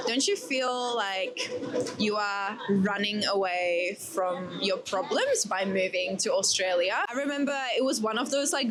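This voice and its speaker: A young woman with a Czech accent talks casually to her viewers at a middling pitch. She laughs often and turns to them with quick asides. Clear background noise runs under her voice. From Czech Republic. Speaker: vlogger. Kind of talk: casual Q&A vlog. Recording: noisy background ambience.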